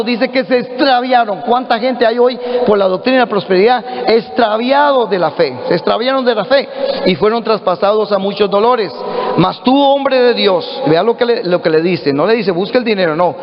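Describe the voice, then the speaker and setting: A man's voice: sits high, normal speed. A middle-aged man with a Costa Rican accent, preaching a sermon earnestly to his congregation. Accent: Costa Rican Spanish. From Costa Rica. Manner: earnest preaching, addresses listeners as brothers. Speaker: pastor. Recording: echoey hall, dull lo-fi audio.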